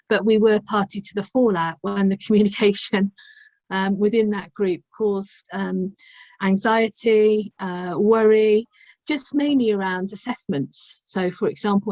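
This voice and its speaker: Slightly croaky woman, recorded dry. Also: medium pitch, a little mellow, no room sound. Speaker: university lecturer. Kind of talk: explanatory contribution to a group discussion. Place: online video call.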